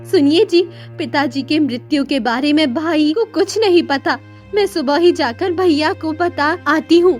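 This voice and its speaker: Dutiful elder sister for a moral cartoon, voiced by a woman: high pitch, earnest tone, faint musical backing. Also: a little slow, soft background music. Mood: concerned. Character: dutiful, self-sacrificing elder daughter. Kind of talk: cartoon story dialogue.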